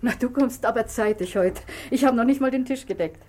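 Actress as a high-voiced, homely, attentive wife. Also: female voice, a little fast, darkish tone, lightly acted. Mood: chatty. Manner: chatty, solicitous. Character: homely, attentive, curious wife of a police inspector. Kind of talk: 1960s German radio play acting. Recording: vintage radio recording with faint noise.

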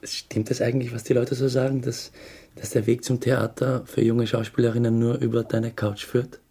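An actor playing a young theatre employee in a radio drama, dry, understated and defensive. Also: male voice, medium pitch, level tone, moderate pace, dark tone, husky. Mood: casual, defensive. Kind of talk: radio drama narration and dialogue.

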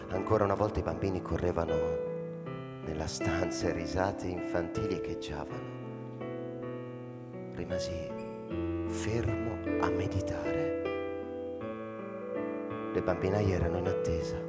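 A pensive old man in a radio drama, weary and introspective. He speaks slowly in a low voice, with halting pauses, while loud piano music plays behind him. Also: steady tone, in a large hall. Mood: reflective. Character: weary, pensive old man, narrating his own story.

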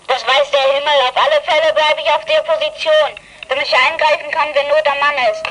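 A woman's voice: high voice, steady tone, fast, a bit bright, poor audio. A woman playing an alert, no-nonsense young girl who works the radio in a children's detective drama, with clipped delivery. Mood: matter-of-fact. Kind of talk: 1980s German children's radio play acting.

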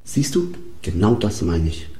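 An actor playing a needy, self-important blogger who whines for attention in a satirical radio play. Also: male voice, on the low side, big pitch swings, measured pace, warm tone. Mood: aggrieved, insecure. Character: needy, self-pitying blogger addicted to online applause.

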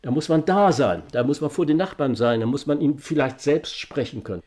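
A man in a spontaneous discussion, talking in a halting, digressive and insistent way. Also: fairly high pitch, a little mellow.

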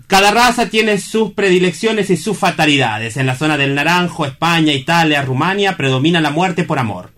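Man acting courteous, high-voiced, didactic gentleman. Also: animated, moderate pace, hardly any pauses. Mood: matter-of-fact, didactic. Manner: formal, polite, didactic. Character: courteous, wry man calmly reasoning with a would-be suicide. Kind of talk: theatrical dialogue from a comic stage play.

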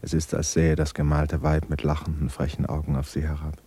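A man narrating a literary love story in a radio play, in a measured, subdued, melancholy way. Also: extremely low pitch, level tone, mellow, husky, heavily acted. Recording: radio production, faint music.

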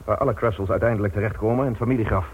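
A man acting a wealthy, imperious older village patriarch in a radio drama, guarded, haughty and self-justifying, with a raspy voice. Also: fairly low pitch, steady tone, racing, heavy background noise, close to an everyday voice. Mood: composed. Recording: dull lo-fi radio drama recording.

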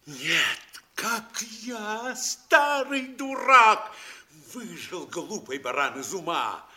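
An actor playing a blustering old town mayor in a stage comedy, declaiming emphatically and indignantly. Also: male voice, sits high, big pitch swings, very slow, pushed and bright, full character voice. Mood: furious self-reproach. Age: older. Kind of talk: theatrical stage comedy acting.

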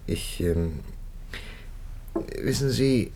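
An actor playing a thoughtful, hesitant male prelate in a 1950s radio drama, speaking with halting pauses. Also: medium pitch, animated, vocal fry, dark tone, breathy edge, exaggerated voice. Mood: reflective.

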